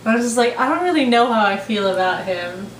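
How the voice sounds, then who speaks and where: A woman's voice: a little high, lively intonation, fast talker. A woman in her twenties chatting casually as she tells a story, giggly and quoting herself. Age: 20s. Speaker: storyteller in a couple's vlog. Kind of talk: casual chat, telling a story. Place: small living room, indoors. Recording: roomy echo, faint room noise.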